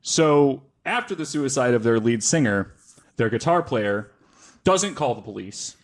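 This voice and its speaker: A man's voice: medium pitch, lively intonation, measured pace. A man hosting a podcast, telling a story casually from notes with wry asides and self-corrections.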